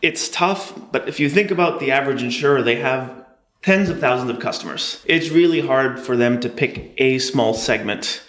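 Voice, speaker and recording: A man, a startup chief executive, explaining his business in a measured, conversational way as an interview guest. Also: medium pitch, a bit bright, echoey room. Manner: measured, explanatory, light laughs.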